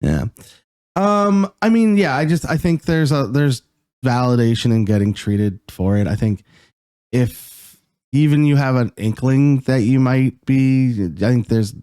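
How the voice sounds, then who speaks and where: A man from Canada, co-hosting a podcast in a halting casual chat, often laughing. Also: mid-range voice, mellow, clear, resonant tone, dry recording, clean studio sound. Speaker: podcast co-host.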